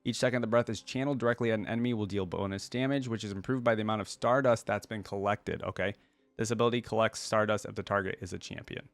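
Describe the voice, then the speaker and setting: Low-voiced man, dry close-miked casual commentary. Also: steady tone, quick pace, no room sound. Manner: enthusiastic fan talk, informal. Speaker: reaction video host.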